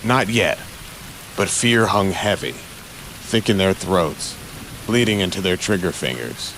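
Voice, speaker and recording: A man delivers dramatic, suspenseful audio drama narration in short punchy phrases. His pace is slow, and rain and street ambience are clearly heard behind him. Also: deep voice, a bit bright, a little hiss or hum, low-quality recording.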